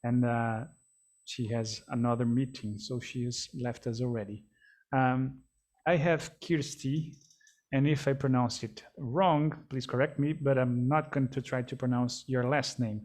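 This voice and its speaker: A man's voice: mid-range voice, steady tone, a little mellow. Polite male panel moderator. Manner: polite, measured, many fillers. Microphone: video call.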